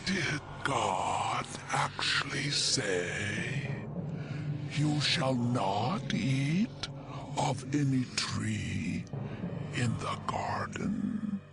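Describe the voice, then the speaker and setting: An actor voicing a sly, seductive serpent in an audio Bible drama, with drawn-out, insinuating, breathy whispering. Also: male voice, medium pitch, lively intonation, loud music behind the voice, full character voice. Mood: sly questioning.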